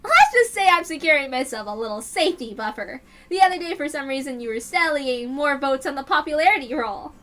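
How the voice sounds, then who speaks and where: A woman's voice: high voice, expressive, fairly quick, hardly any pauses, sharp tone. A woman voicing a scheming, gloating young twin sister from an anime visual novel, taunting with theatrical mock politeness. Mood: smug. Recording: music behind the voice.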